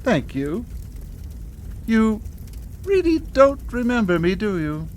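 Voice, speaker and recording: A woman's voice: a little high, sing-song, slow, darkish tone, slight background noise. A woman acting a sly, bitter crematorium officer in a live radio horror play, savoring her words theatrically. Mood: taunting, bitter. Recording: live studio radio play, music behind.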